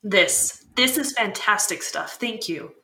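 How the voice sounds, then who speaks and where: A woman's voice: medium pitch, animated, slow, gravelly, pushed and bright, heavily acted. An actress playing a keen, curious talk show host who prompts her guest in a podcast drama. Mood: engaged, curious. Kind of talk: scripted interview in a fiction podcast.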